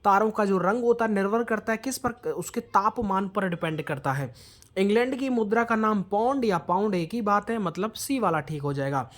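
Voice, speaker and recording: A man's voice: high-pitched, normal speed. A man teaching an exam-prep lesson in quiz style, prompting listeners with questions and mixing in English words.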